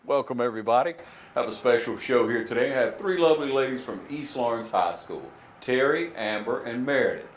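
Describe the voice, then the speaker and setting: A man's voice: mid-range voice, a little fast, roomy sound, a little hiss or hum. A man hosting a local TV interview, asking friendly, folksy questions. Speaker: TV show host.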